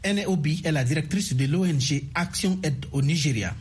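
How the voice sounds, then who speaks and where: A man's voice: fairly high pitch, moderate pace, a little mellow, breathy edge. A man delivering a formal radio news report from the field. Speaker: radio correspondent.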